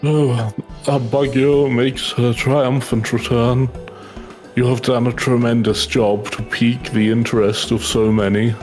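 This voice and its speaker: A man voicing a formal, kindly delegation official in a fantasy game, with measured courtly phrasing. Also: medium pitch, flat delivery, a little mellow, lightly acted. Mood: approving. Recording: game music behind the voice.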